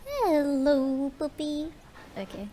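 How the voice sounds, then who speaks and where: Slow, offhand woman gamer. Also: sits high, big pitch swings, warm tone, slight background noise. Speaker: streamer playing a game. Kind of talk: casual, offhand game commentary.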